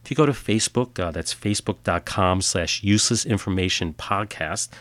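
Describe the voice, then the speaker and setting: A man's voice: sits low, a little fast, fairly bright tone, no echo. A man hosting a podcast, reading odd true stories in a plain, matter-of-fact way, a little nasal from a cold. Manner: plain matter-of-fact delivery with filler uhs in sign-off. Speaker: podcast host. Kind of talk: scripted storytelling narration.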